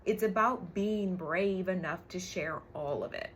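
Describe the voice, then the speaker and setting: A woman's voice: fairly low pitch, a little slow, a little hiss or hum. A woman in her forties talking candidly and emphatically in a personal storytelling vlog, like a coach speaking to her followers. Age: 40s. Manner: candid, emphatic, frequent tag questions and swearing. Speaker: business coach.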